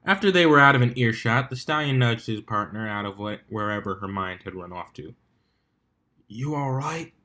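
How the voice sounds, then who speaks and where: A man's voice: on the low side, a little fast, hardly any pauses, sharp tone. A man narrating a scripted fan fiction story as its reader.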